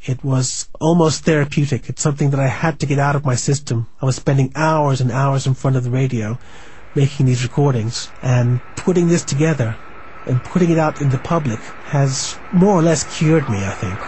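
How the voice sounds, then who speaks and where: A man being interviewed as an enthusiast, giving measured, precise explanations. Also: mid-range voice, darkish tone, a little hiss or hum. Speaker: interviewee, shortwave recording enthusiast.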